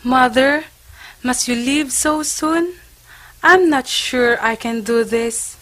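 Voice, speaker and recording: A woman's voice: high-pitched, takes it slowly, fairly bright tone, exaggerated voice. A woman playing an earnest woman of an old Spanish convent town in an amateur stage play. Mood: anxious. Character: earnest townswoman or young nun in old Spain.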